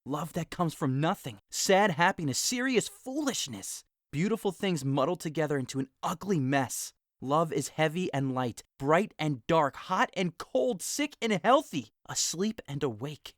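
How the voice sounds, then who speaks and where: A man's voice: a little high, lively intonation, measured pace, few pauses, no echo, studio quality. An actor playing a lovesick young man in an audio drama, sighing and wistful, breaking into passionate outbursts.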